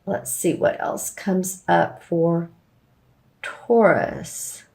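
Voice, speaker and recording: Slightly hoarse woman reading tarot. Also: fairly low pitch, extremely slow, a little mellow, rough recording. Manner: meandering, with frequent fillers and restarts. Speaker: tarot reader. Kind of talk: casual spoken tarot reading to camera.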